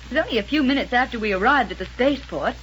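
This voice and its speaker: A quick-thinking space heroine in a 1930s radio serial. She sounds sensible, with a fairly high voice, over light recording noise. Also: expressive, a bit bright, highs cut off. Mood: reasoning.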